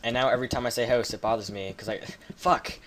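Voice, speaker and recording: A man's voice: mid-range voice, fairly even intonation, quick pace, fairly bright tone, slight background noise. A man with a Canadian accent giving rambling, casual commentary while playing a game, swearing now and then. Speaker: gaming video creator.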